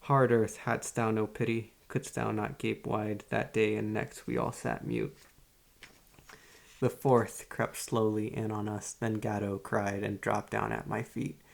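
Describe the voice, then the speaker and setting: A man playing a calm, morbidly literary psychiatrist in an ASMR roleplay, speaking in a measured, solemn and quietly controlling way. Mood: solemn, grave. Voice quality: low, gravelly. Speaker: therapist. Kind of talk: ASMR roleplay, poetry recitation and monologue.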